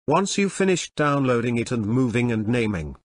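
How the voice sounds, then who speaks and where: A synthetic text-to-speech man's voice, giving scripted step-by-step tutorial narration in a measured, instructional way. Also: medium pitch, big pitch swings, no echo, studio quality.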